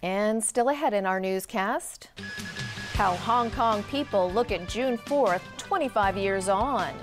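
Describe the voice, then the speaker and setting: A woman's voice: mid-range voice, slow pace, music in the background. A woman reading scripted television news as the anchor.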